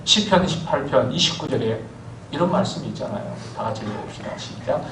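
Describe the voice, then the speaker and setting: Animated preacher in an echoey church. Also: male voice, mid-range voice, brisk, a little hiss or hum. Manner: animated storytelling preacher, calls for amens. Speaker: pastor. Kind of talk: sermon. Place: church sanctuary. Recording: echoey church hall from far off.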